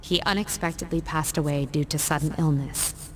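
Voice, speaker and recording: A poised young student council president, played by a woman, delivering a formal, composed speech. Her voice sits on the low side and stays steady and dignified. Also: normal speed, a little hiss or hum, close to an everyday voice, poor audio. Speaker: valedictorian. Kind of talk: video game cutscene voice acting.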